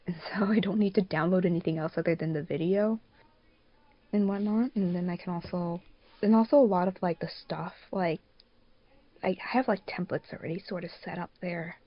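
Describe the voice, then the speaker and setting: A woman hosting a casual podcast, talking in an animated, rambling, slangy way. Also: fairly low pitch, fairly quick, dry recording. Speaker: podcast host and fan dub producer. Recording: dull, dry lo-fi mic.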